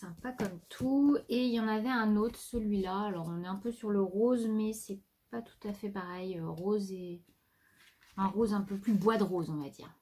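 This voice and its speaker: A woman's voice: mid-range voice, moderate pace, darkish tone, slightly rough. Chatty woman showing her craft haul. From France. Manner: chatty, rambling, talks to her pet. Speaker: craft vlogger. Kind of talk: casual show-and-tell haul. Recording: faint background noise.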